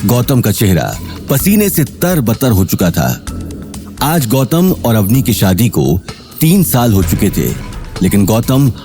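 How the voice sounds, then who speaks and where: A male narrator tells a scripted drama story in a mid-pitched voice. His delivery is dramatic and very animated, over soft background music. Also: takes it slowly.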